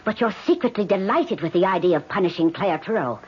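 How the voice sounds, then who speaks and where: An actress in a vintage radio drama playing an old spirit medium, asking measured, probing questions with unflappable gravity. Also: female voice, mid-range voice, animated, slightly rough. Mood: grave, insistent. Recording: muffled, hissy old radio recording.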